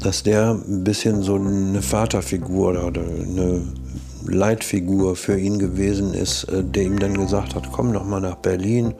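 An older man reminiscing in a documentary interview, halting as he repeats and rephrases. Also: low voice, gravelly. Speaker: studio eyewitness interviewee, sound engineer.

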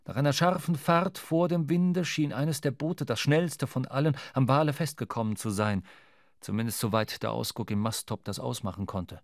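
A man's voice: medium pitch, normal speed, dry recording, clean studio sound. A man reading scripted literary narration for a radio drama.

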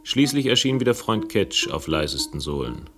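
A sardonic investigator in a radio crime drama. His voice is very low, his delivery dry and impatient, and there is clear music in the production. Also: big pitch swings, moderate pace. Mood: dry, wry. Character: wry, impatient male narrator-investigator in a crime story. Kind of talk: German radio crime play acting and narration.

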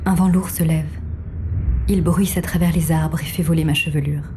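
Audio drama role, female actor: enigmatic virtual temptress and guide, self-assured, measured, low-pitched, soft dark tone. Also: fairly even intonation, slight background noise. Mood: sensual. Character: mysterious seductive virtual woman, cool and self-assured guide. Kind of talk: scripted audio drama, literary narration and dialogue.

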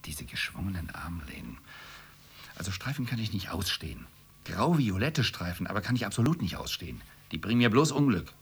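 An actor playing an irritable, scornful middle-class husband in a radio play, sarcastic and emphatic. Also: male voice, sits low, big pitch swings, a little fast, vocal fry, exaggerated voice. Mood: irritated, outraged.

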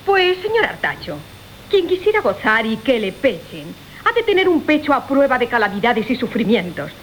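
Demanding, aggrieved young kept woman, high-pitched declamation for period radio farce, light hiss. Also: big pitch swings, normal speed, poor audio. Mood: haughty demanding. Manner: declamatory, mock-formal. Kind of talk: theatrical radio play acting of classical comedy. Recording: hissy old radio recording.

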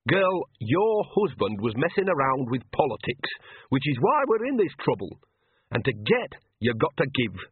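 A man's voice: medium pitch, big pitch swings, normal speed, slightly rough, no room sound, phone-quality sound, voice pushed up. A man voicing a bossy, shrewd older woman witch who talks in a blunt, colloquial, cajoling way.

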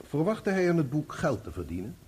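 An actor in a Dutch radio play, playing a formal courtroom examiner who questions a witness deliberately. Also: male voice, on the high side, big pitch swings, a little mellow, slightly rough. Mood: probing, courteous.

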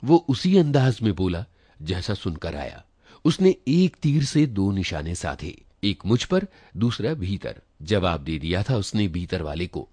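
A man narrating a literary short story in an expressive reading style. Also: low voice, unhurried, husky, no room sound. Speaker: story reader.

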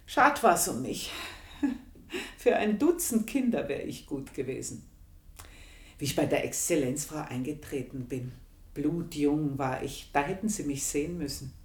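A woman's voice: low-pitched, lively intonation, echoey room. An actress playing an earthy, proud, aging housemaid, confiding her memories in a radio play monologue. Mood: wistful, frank. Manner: confiding, unhurried storytelling with pointed pauses. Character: earthy, proud aging housemaid reminiscing about past desires.

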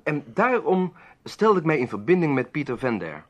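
A shrewd, easygoing adventurer in a vintage Dutch radio play. His husky voice moves freely up and down as he explains things in a genial, chatty way. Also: a little high, sing-song, moderate pace, lo-fi sound. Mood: confiding, composed. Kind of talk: old Dutch radio drama acting.

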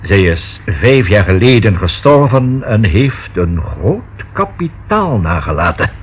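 A formal, expressive notary. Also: male voice, lowish voice. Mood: patient, explanatory. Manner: formal, measured, precisely worded. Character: formal, discreet male notary, precise and proper. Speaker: actor in a radio play. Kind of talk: Dutch radio drama acting. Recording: dull, faintly noisy old radio recording.

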